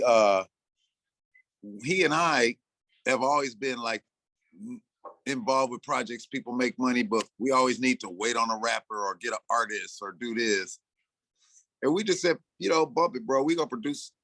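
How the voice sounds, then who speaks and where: Animated sixty-year-old man, bright voice. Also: medium pitch, normal speed, dry recording. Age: 60s. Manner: loose, animated storyteller who acts out his own lines. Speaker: interview guest, rapper and producer. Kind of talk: casual interview talk.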